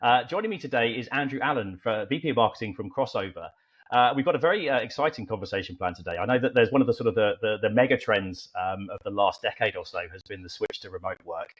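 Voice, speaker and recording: Male podcast host, quick and halting, sound dull and lo-fi. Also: fairly low pitch, husky. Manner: fast, hesitant, with fillers and repeated words. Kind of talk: hosting an interview. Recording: dull lo-fi audio.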